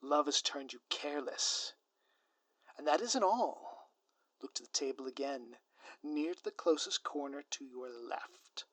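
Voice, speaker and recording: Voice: medium pitch, expressive, unhurried, fairly bright tone, no echo. A performer voicing a scheming, smug ex-supervillain spouse in a scripted audio roleplay, with a theatrical, sardonic, grandiloquent manner. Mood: gloating, menacing. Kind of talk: scripted audio roleplay monologue addressed to listener.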